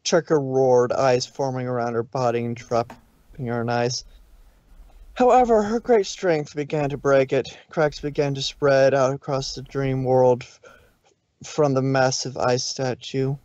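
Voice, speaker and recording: A man's voice: medium pitch, steady tone, measured pace, a little mellow, no room sound. Man narrating a fanfic aloud. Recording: dull, lo-fi stream audio.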